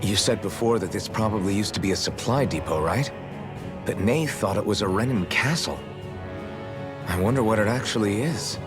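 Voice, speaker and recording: A man's voice: lowish voice, normal speed, over music, natural voice. An actor playing an earnest, defiant young masked swordsman in a video game, speaking with feeling. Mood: curious. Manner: earnest, impassioned.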